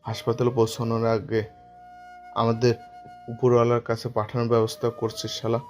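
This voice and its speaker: A man's voice: mid-range voice, completely flat delivery, moderate pace, darkish tone, soft background music, lightly acted. A man playing an alarmed, blunt young best friend in an audio drama. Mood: panicked scolding. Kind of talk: dramatic audio story acting.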